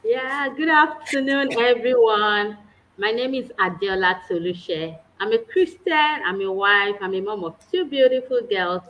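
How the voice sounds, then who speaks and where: A woman's voice: mid-range voice, expressive, measured pace, lo-fi sound. A woman, a guest earnestly telling her personal story in a casual chat. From Nigeria. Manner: earnest, warm storytelling with small laughs.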